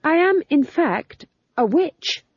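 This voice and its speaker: A woman's voice: sits high, big pitch swings, slow pace, no echo, highs cut off, pitched above the performer's own voice, heavily acted. A woman voicing a young woman witch who is prim yet fierce, raised and insistent.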